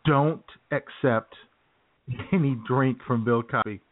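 A man with a medium-pitched voice banters casually as a comedy radio co-host. He teases and jokes in a conversational way. The sound is thin and tinny, as if over a phone. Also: slow. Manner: teasing, joking. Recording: tinny, phone-like band-limited audio.

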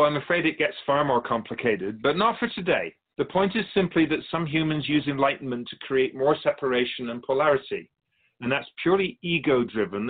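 Male actor as ancient spirit guide, gently didactic, measured phrasing, expressive pitch swings, philosophical radio drama. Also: phone-quality sound, close to an everyday voice. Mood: calm, instructive. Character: otherworldly spirit keeper of souls, calm, wise, gently instructive. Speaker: interviewed guest in a radio drama.

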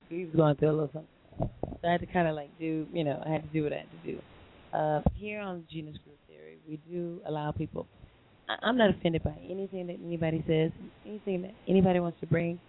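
A hoarse woman hosting a live call-in internet radio show, chatting in a rambling way with many fillers and restarts. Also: sits low. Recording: lo-fi phone line with faint hiss.

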